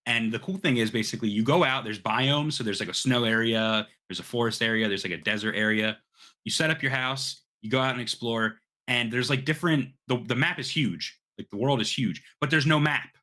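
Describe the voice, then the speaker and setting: Bright-voiced man chatting casually about games. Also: mid-range voice, lively intonation, normal speed. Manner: informal, swearing, eager. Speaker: podcast host. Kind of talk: casual gaming podcast chat.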